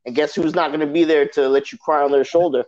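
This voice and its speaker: Casting: thirties man, emphatic street slang, slightly high voice, muffled lo-fi recording. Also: fairly even intonation, quick pace, darkish tone. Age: 30s. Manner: emphatic, slangy, repeats "you know what I'm saying". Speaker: podcast guest. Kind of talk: casual podcast chat. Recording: dull lo-fi sound.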